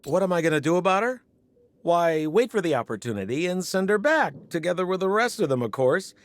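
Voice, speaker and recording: A man's voice: high-pitched, very animated, brisk, no room sound. A man voicing a glib, theatrical young rogue in a video game, wheedling and exaggerated. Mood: defensive wheedling. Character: glib, theatrical young male rogue, slippery and eager to please.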